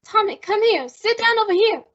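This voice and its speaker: High-voiced, anxious young heroine played by a woman. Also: expressive, moderate pace, a bit bright. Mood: urgent, protective. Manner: earnest, worried, emotional. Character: anxious, devoted young woman protecting her fugitive brother. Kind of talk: old-time radio melodrama acting. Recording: dull, muffled lo-fi sound.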